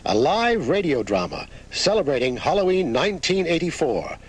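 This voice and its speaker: A man's voice: a little high, very animated, normal speed, over loud music. A man working as a station announcer, delivering a radio promo on an old tape.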